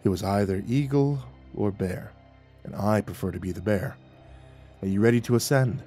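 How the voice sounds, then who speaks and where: A man voicing a patient, unconcerned shaman, a dryly teasing mystic, with calm, unhurried delivery in an audiobook. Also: fairly low pitch, soft background music, pitched below the performer's own voice, lightly acted. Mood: apologetic, amused. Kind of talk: audiobook character dialogue.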